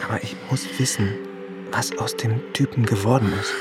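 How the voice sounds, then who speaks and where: A man's voice: lowish voice, fairly even intonation, moderate pace, natural voice. An actor playing a protective, insistent male partner in a German radio drama, speaking earnestly and urgently. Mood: probing. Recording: voice over clear music.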